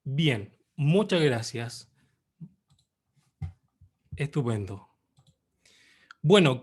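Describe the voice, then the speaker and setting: A Chilean man in his thirties speaks at a slightly raised pitch, presenting his book online. His delivery is formal and measured, with pauses and hesitations between phrases. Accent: Chilean Spanish. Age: 30s.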